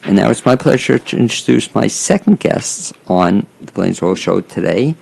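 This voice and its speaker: A man's voice: on the low side, expressive, normal speed, gravelly, a little mellow, breathy edge. A man hosting a talk show, chatting casually with guests, joking and stumbling a little over names. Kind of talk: casual interview chat.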